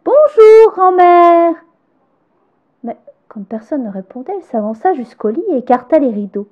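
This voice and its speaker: A woman reading a children's fairy tale aloud, gentle and expressive, sometimes dropping to a hush. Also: fairly high pitch, quick pace, slightly rough, phone-quality sound. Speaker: storyteller.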